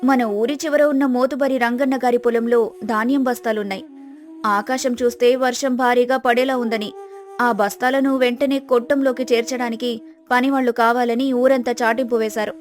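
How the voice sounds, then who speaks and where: A woman playing a clever, patient young village wife, polite and persuasive, in an animated moral story. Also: fairly high pitch, brisk, soft background music, natural voice. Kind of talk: acted moral story dialogue.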